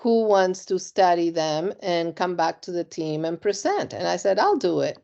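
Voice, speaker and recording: A middle-aged woman from Argentina answers interview questions in a low voice. She is measured and reflective, pausing on ums and restarting phrases. The recording sounds dull and muffled. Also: very animated, creaky, dark tone. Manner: measured, reflective, with frequent ums and repeated words. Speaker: compliance executive, interview guest. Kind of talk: career interview.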